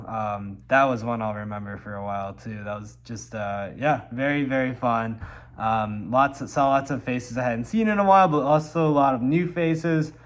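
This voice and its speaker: A young man from Milwaukee, congested from a cold, rambling casually in a vlog with lots of ums and likes. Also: mid-range voice. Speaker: musician vlogger.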